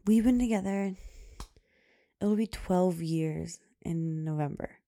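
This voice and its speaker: A woman in her thirties hosts her own podcast, rambling through loose casual chat with fillers and frequent laughs. Her voice is loud, low and mellow, with a gravelly fry running through it. The sound is dry and close, with no room around it. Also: takes it slowly, no room sound. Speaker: podcast host.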